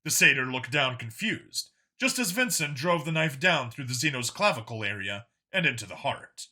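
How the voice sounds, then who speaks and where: A man's voice: medium pitch, sing-song, normal speed, sharp tone. A man narrating a scripted science fiction story as an audiobook reader.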